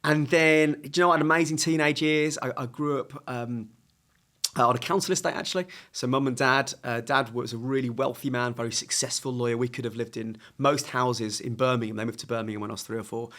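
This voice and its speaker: A man in his thirties from England, a podcast guest telling his life story in a lively, self-mocking way. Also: mid-range voice, a little fast. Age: 30s. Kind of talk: casual interview.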